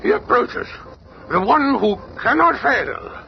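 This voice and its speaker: A man's voice: very high-pitched, big pitch swings, takes it slowly, gravelly, exaggerated voice. A man playing an imperious, easily astonished alien king in a radio drama, with grand theatrical delivery. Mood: solemn. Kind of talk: superhero radio drama acting. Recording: very noisy muffled radio drama.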